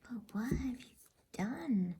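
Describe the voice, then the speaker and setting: A woman's voice: fairly high pitch, animated, slow pace, dark tone, a little hiss or hum, pitched above the performer's own voice. Woman whispering as an earnest, anxious little girl. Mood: concerned, kind. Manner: pleading, concerned. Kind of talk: whispered bedtime storybook reading.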